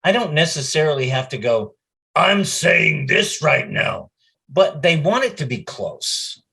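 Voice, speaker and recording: A man's voice: on the high side, very animated, measured pace, vocal fry, pushed and bright, slightly rough. A middle-aged man, a voice actor, telling anecdotes casually in an interview and acting out bits of quoted speech as he goes.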